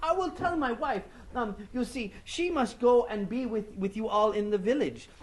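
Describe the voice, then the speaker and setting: A woman voicing a greedy, sly male spider trickster in a told folktale, with a high chirpy voice. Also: wildly swooping pitch, moderate pace, warm tone. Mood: cheerful. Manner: chirpy, boastful, theatrical wails.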